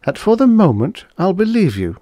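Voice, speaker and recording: A man's voice: high-pitched, huge pitch swings, normal speed, warm tone, no room sound, clean studio sound, pitched above the performer's own voice, exaggerated voice. Guy reading as a cold defiant lady scientist for an audiobook, voice lifted way up, clipped n suspicious. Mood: measured. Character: proud, guarded foreign woman scientist, coldly defiant.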